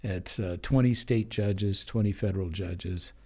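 Hesitant older man, chuckling warmly. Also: low-pitched. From Denver, Colorado. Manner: hesitant, many pauses and fillers, chuckling. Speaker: judge, interview guest. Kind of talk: casual interview chat.

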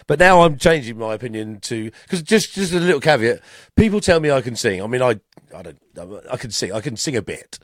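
Casting: male co-host, slightly croaky after a cough, self-mocking, mid pitch, dry close mic. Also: sing-song, brisk, dry recording. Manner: self-mocking, laughs often. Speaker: podcast co-host. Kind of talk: casual chat.

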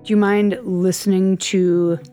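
A woman's voice: mid-range voice, flat delivery, measured pace. An American woman co-hosting a casual chat about classical music, rambling in a laughing, easygoing way. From United States. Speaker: podcast co-host.

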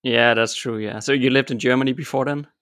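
A man's voice: mid-range voice, fairly even intonation, fairly quick, a bit bright, no echo, clean studio sound. A man hosting a podcast, casually interviewing a guest in a friendly, affirming way. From Europe. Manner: friendly, affirming, repeats "yeah". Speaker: podcast host.

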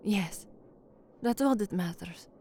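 A woman's voice: medium pitch, takes it slowly, dark tone, slight background noise. A woman playing an anxious, weary young trainee newsreader from the slums in an audio drama. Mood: distressed, subdued. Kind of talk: audio drama acting with first-person narration.

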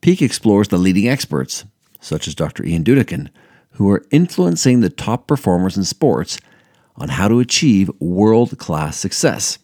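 A man's voice: lowish voice, sing-song, moderate pace, mellow, no echo, clean studio sound. A man reading scripted promotional narration as an announcer. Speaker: advertisement announcer.

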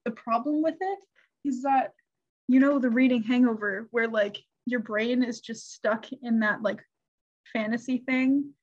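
Higher-voiced young woman, slightly muffled. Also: unhurried. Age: young adult. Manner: laughing, meandering storytelling. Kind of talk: casual chat.

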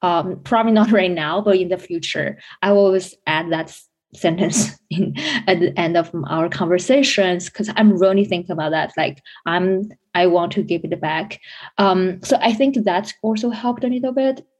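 A woman's voice: fairly low pitch, normal speed, a little mellow, rough recording. Chatty young woman. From China. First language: Chinese. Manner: chatty, many fillers and restarts, laughs lightly. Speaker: business school student guest. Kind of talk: casual interview answers.

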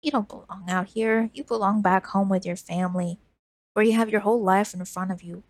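Brief: woman reader plays a coughing old crone near death, husky and faint, dry close read. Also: medium pitch, steady tone, fairly quick, no echo, natural voice. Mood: weak. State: wheezing and coughing. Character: dying old woman wanderer, weary and remorseful.

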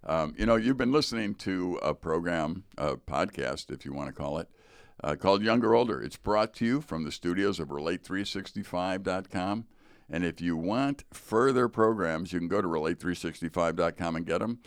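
American male podcast host, middle-aged. Low pitch, clean resonant tone, conversational and explanatory, close dry sound. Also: expressive, normal speed, smooth, no echo. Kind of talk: conversational interviewing. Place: podcast studio.

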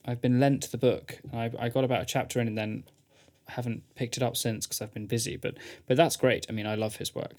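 A man's voice: mid-range voice, flat delivery, fairly quick, darkish tone. A man co-hosting a casual podcast, talking thoughtfully and stumbling over words now and then. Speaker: podcast co-host.

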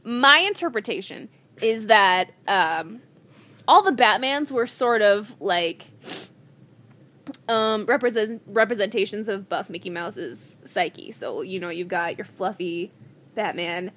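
A woman's voice: mid-range voice, expressive, takes it slowly, a bit bright. A woman co-hosting a comedy podcast, speaking in a slangy, mock-serious way, in casual banter and comic dramatic reading. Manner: giggly, easily cracks up. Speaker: podcast co-host.

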